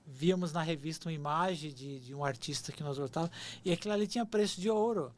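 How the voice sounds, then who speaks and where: A man's voice: fairly high pitch, fast, slight background noise. A man in his forties, a podcast guest, telling stories in an earnest, nostalgic way, often repeating words and restarting as he speaks. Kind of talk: reflective storytelling.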